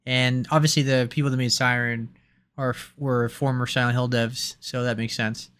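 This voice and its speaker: A man's voice: mid-range voice, level tone, normal speed, a bit bright, smooth. A man with a mid-range voice chats on a gaming podcast. He is eager and talkative and often restarts his sentences. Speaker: podcast co-host. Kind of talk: casual chat.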